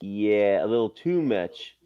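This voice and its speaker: A man's voice: lowish voice, very animated, takes it slowly, smooth, dull audio. A man chatting casually as a co-host on a stream, commenting on a video. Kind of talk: casual chat reacting to a video.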